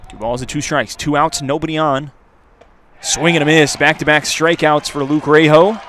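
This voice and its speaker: A man's voice: fairly high pitch, steady tone, moderate pace, a bit bright, some chatter behind. A man calling live baseball play-by-play as a sports radio announcer. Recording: outdoor ballpark ambience noise.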